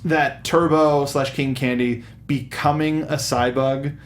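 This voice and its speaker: A man hosting a film review panel in a casual, somewhat halting way, putting questions to the others, recorded with roomy echo and rumble. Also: steady tone. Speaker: host of a film review show.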